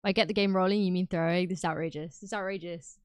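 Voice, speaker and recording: A British woman livestreaming, chatting casually and reacting loudly to her chat. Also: lowish voice, fast talker, clean tone, no echo. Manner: animated and exclamatory, reacting to chat. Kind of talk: casual live stream chat.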